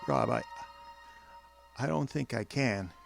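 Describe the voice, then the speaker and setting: An actor playing a nervous, loyal bartender turned reluctant vampire slayer, halting and folksy, in a horror audio drama. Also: male voice, medium pitch, gravelly, over music. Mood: reluctant, sorrowful.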